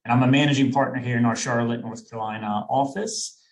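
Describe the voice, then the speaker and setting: A man in his thirties from Sweden, speaking English at a middle pitch for a man. He explains things in a friendly way, often pausing on fillers and restarting a phrase. He is heard over a video call microphone, like a guest on a webinar. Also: steady tone. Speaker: executive recruiter, guest. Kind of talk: webinar presentation.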